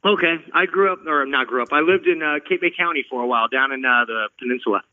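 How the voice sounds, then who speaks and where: Talkative middle-aged host on a thin line. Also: male voice, on the high side, fast talker, phone-quality sound. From Los Angeles, California. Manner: talkative, earnest, repeats words when starting sentences. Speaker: podcast host. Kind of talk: casual conversational chat.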